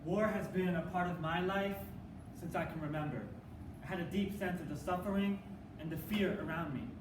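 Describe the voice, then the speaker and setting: A young man performs earnest spoken-word lines in a staged reading, his voice sitting high for a man. He is picked up from far off in a roomy hall, with steady noise under him. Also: normal speed. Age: young adult. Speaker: college forensics team performer. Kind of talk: reader's theater performance. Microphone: distant room mic. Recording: echoey, distant, noisy live room.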